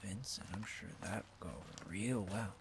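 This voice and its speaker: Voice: low voice, moderate pace, creaky, slightly rough, no echo, heavily acted. An actor playing a sarcastic, fed-up young student in an audio drama, blunt and sweary. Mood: bitter muttering.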